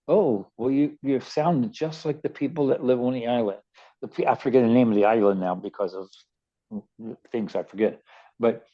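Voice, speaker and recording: An older man with a Baltimore accent tells stories casually on the radio, restarting and repeating words as he goes. His voice is dark and mellow, and the recording sounds a little dull and lo-fi. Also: medium pitch. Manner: rambling, many restarts and stammered repeats. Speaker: radio show host. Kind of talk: casual storytelling chat.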